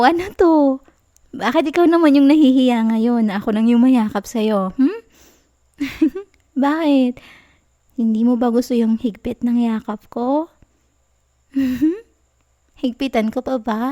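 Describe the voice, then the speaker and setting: A young woman playing a clingy, pouty, playful girlfriend in an intimate ASMR roleplay, with a Filipino accent and a soft, whiny, teasing delivery. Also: fairly high pitch. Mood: affectionate, teasing.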